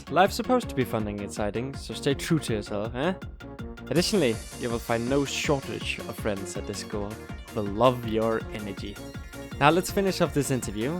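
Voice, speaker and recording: A man acts a courteous, encouraging school interviewer in an audio drama. His mid-range voice is measured and formal, with a touch of amusement, over clearly audible music. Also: medium pitch, animated, close to an everyday voice. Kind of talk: audio drama character acting.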